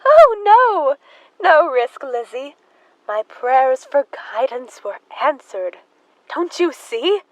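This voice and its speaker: A young woman acts out an unhinged, devout killer sister in a radio play. Her voice is very high and she speaks slowly, halting and fervent, in a close, dry recording. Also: sing-song, no echo, exaggerated voice, low-quality recording. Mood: fervent, eerie. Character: Emma, devout, unhinged axe-murdering sister, adult woman. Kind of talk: high school audio drama acting.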